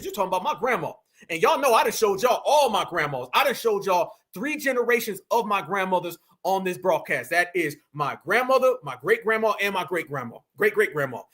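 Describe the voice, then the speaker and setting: Man ranting to his viewers, high bright voice, real emphatic. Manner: preachy, emphatic, addresses viewers directly. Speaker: commentary show host. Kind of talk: opinion commentary.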